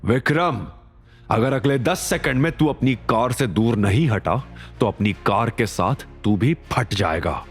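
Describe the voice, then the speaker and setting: A man voicing a calm, menacing hero in an audio drama, measured and controlled. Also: medium pitch, husky, faint music behind. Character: menacing, calm male hero, cold and protective.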